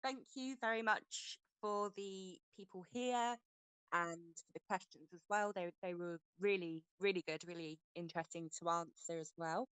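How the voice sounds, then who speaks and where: A woman moderator offers shy, giggly thanks with plenty of pauses. Her voice is smooth and clear, recorded dry and close. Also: fairly low pitch, a bit bright, no room sound, low-quality recording. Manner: giggly, hesitant, with many "um"s. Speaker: community moderator. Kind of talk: casual thank-you remarks on a voice chat.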